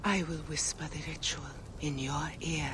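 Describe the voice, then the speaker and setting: A woman's voice: low-pitched, steady tone, moderate pace, heavy background noise, close to an everyday voice. A woman voicing the regal, solemn spirit of an Egyptian queen in a video game, stately and often slipping toward a whisper. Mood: solemn, pleading. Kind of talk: dramatic video game acting. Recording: music behind the voice.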